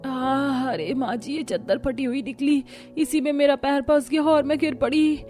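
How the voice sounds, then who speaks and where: A woman's voice: sits high, fairly even intonation, normal speed, a little mellow, faint music behind, pitched above the performer's own voice, close to an everyday voice. A woman voicing a thrifty, earnest young daughter-in-law in a cartoon family story. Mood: pained, plaintive.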